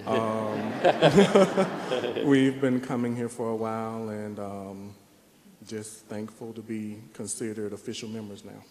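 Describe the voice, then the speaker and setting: Slow, hesitant man in a church. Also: lowish voice, level tone, crowd noise. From South Georgia. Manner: hesitant, with fillers. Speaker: new church member. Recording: church with long reverb.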